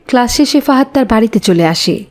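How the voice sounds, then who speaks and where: A female narrator tells a scripted cartoon story. Her voice is pitched a little above the usual for women. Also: animated, a little fast.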